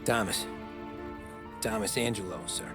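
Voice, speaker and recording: Working-class American driver, game drama, slightly low voice, polite, sincere, determined. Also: male voice, fairly even intonation, measured pace. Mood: respectful. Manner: deferential, plain-spoken. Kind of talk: dramatic game cutscene acting. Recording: music behind the dialogue.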